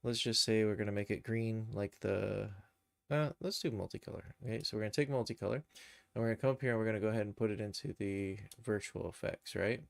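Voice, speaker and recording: A man hosting a casual, unscripted software tutorial, thinking aloud as he explains each step. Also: fairly low pitch, quick pace, creaky, dry recording. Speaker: live stream tutorial host, game and cartoon developer.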